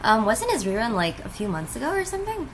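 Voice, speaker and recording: A woman's voice: on the high side, huge pitch swings, fast talker, very noisy. A woman giving casual reaction commentary as the host, with a video playing behind her. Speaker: host, reacting to a game update livestream. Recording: loud music behind the voice. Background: loud game trailer and stream music.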